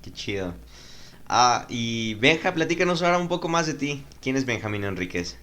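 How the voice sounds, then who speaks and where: A man hosting an interview, halting and repeating words as he welcomes guests. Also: mid-range voice, big pitch swings, a bit bright. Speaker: host.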